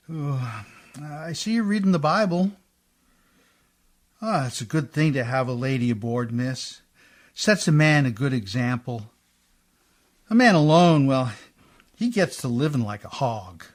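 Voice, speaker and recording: Man playing rough boozy riverboat skipper for radio drama: drawn-out, whiny, folksy grumbler. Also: a little high. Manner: uneducated, folksy, grumbling speech. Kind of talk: radio play acting.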